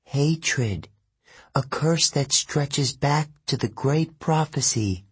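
A man voicing a solemn ghost girl warning her brother, in a soft, raised, husky voice. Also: medium pitch, expressive, slow pace, no room sound, voice pushed up, heavily acted. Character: Bianca, solemn ghost girl, protective older sister.

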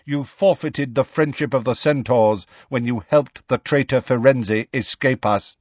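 A man voicing a proud, stern male centaur in a storybook, speaking in a formal, measured and calmly menacing way. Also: medium pitch, no room sound, muffled recording, voice pushed up, lightly acted. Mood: calm, commanding.

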